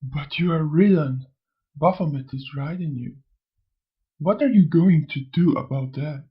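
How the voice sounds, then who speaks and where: A man voicing a sly, amused old spirit in a motion comic, lecturing theatrically in a gravelly, husky voice. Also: a little high, measured pace, highs cut off. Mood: teasing, inviting. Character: sly, amused old male spirit who preaches and taunts. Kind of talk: scripted motion comic dialogue.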